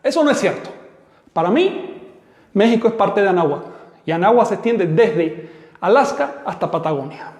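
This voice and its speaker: A man's voice: high voice, expressive, moderate pace, long pauses, darkish tone. Firm man lecturing, echoey room. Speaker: teacher. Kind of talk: live online class answering questions. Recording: echoey room with long reverb.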